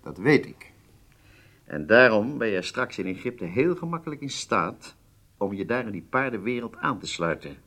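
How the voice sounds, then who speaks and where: Spy planner, radio drama: low-voiced, shrewd man, informal and wry, confidently laying out schemes. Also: very animated, moderate pace, fairly bright tone, heavily acted. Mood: wry, teasing. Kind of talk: Dutch radio drama acting.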